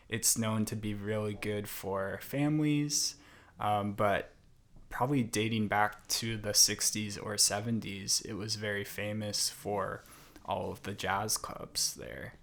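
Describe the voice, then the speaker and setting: A young man talks as a podcast guest in a low-pitched voice. He speaks very slowly and thinks aloud, with plenty of fillers and restarts. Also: flat delivery, fairly bright tone. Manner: thoughtful, unhurried, many fillers and restarts. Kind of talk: casual interview conversation.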